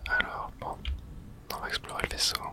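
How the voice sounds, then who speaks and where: Whispered voice, breathy, bright tone, ASMR let's play narration, murmured reactions, mild swearing. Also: normal speed. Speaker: gamer narrating own play.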